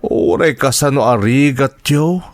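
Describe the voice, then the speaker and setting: A man acting as a disheartened, apologetic middle-aged uncle in an Ilocano radio drama, with a Filipino accent and halting, heartfelt delivery. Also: medium pitch, dry recording, studio quality, close to an everyday voice. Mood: disheartened, resigned. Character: regretful, disheartened uncle of a graduate, humbled and apologetic.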